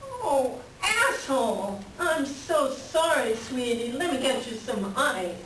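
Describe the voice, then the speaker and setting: A woman's voice: high-pitched, big pitch swings, slow pace, a bit bright, exaggerated voice. A woman playing a caring, sympathetic friend and neighbor in a staged play reading. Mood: soothing, indignant. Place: library room reading before an audience. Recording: echoey room, distant mic, faint noise.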